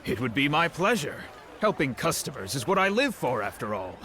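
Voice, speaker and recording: A chatty innkeeper talks in a bright, forward tone at a mid pitch. He is polite, nosy and eager to serve, with faint town ambience behind him. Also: big pitch swings, moderate pace, slight background noise. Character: friendly, chatty male innkeeper. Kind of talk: game cutscene voice acting.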